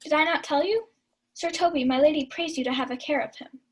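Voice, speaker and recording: A woman's voice: sits high, fairly quick, natural voice. A young woman playing a sly prankster feigning pious worry in a radio-style Shakespeare comedy. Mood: feigned alarm. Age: young adult. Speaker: student actor. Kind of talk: 1940s radio play Shakespeare acting.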